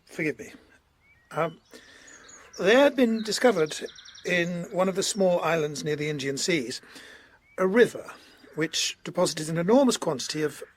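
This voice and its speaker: A man playing a courteous, earnest Georgian colonel in a period audio drama, recounting gravely in formal, slightly hesitant speech. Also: a little high, lively intonation. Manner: formal, hesitant, measured storytelling.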